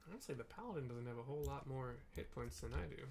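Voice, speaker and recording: A man casually narrating gameplay and describing the scenes for blind viewers, in loose, halting bits. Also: medium pitch, animated, darkish tone, slight background noise. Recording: background music playing under the voice. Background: music from a playlist.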